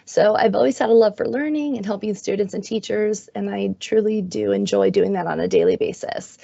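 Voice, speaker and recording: Raspy hoarse woman presenting on a call. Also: medium pitch, fairly even intonation, a little fast, warm tone, dry recording. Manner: friendly, self-deprecating, laughs often. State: hoarse from being sick. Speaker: guest presenter, magnet schools coordinator. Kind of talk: webinar presentation. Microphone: video call. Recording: dull, dry video-call audio.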